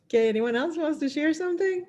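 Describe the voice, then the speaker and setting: A middle-aged woman from Venezuela chatting casually in a group, expressive and thinking aloud as she goes. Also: sits high. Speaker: session host.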